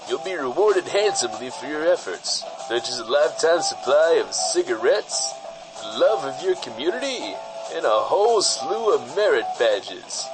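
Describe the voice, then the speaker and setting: A man's voice: mid-range voice, close to an everyday voice, rough recording. A man playing a slick, folksy washed-up film star giving a hammy, paternal parody public service announcement.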